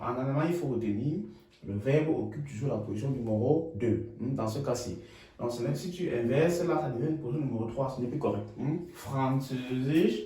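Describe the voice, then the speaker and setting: A man from Cameroon teaching German in French, repeating phrases slowly and adding checking tags like "hmm?". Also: medium pitch, dark tone, very echoey, distant mic, poor audio. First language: Ngamba. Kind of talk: bilingual language lesson.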